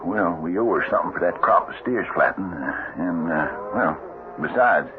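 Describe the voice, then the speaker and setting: An actor playing a gruff but decent middle-aged trail boss in an old radio western, courteous and halting, with a deep gravelly voice. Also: male voice, animated. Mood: solemn, reasoning. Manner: hesitant, halting, formal courtesy. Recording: muffled, noisy old radio broadcast.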